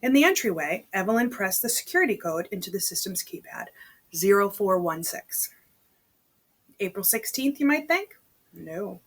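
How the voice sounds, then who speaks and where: Chatty woman reading a novel aloud, slightly husky. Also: medium pitch, very animated. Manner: informal, conversational, pausing to comment to the listener. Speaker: amateur read-aloud reader.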